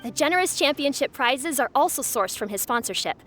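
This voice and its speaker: A woman's voice: high voice, expressive, brisk, a bit bright, faint music behind. A woman voicing an enthusiastic, formal championship announcer in a video game, polished and ceremonious. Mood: formal, proud. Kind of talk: video game character acting.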